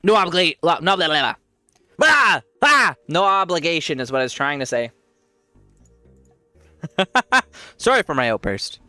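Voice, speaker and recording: A man's voice: on the high side, very animated, a little slow, pauses often, sharp tone, no room sound, faint music behind. A man with a congested voice, giving rambling casual commentary while he plays a game and laughs often. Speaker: streamer.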